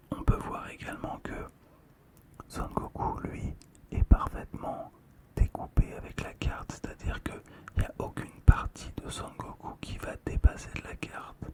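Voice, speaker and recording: Slow whispered ASMR voice, very soft. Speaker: ASMR video creator. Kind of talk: ASMR whispering, describing a collectible card. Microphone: close stereo ASMR microphone.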